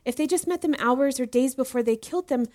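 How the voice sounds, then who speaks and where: A woman's voice: sits high, fast, darkish tone, close to an everyday voice. An actress playing an eager, deferential young female student in an audio drama, speaking in an earnest, inquisitive way. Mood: curious, questioning. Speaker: voice actor in a fiction podcast. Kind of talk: acted audio drama dialogue.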